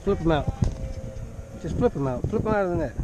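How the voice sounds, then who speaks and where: A man's voice: on the high side, lively intonation, a little fast, noisy background. A man chatting and cursing casually while fishing from a pontoon boat, recorded on an action camera. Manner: profane, joking. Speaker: angler. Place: pontoon boat on a lake, outdoors.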